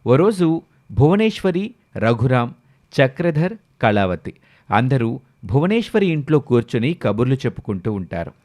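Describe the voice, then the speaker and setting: A man's voice: medium pitch, very animated, moderate pace, pauses often, a little mellow. A man narrating a scripted village moral story.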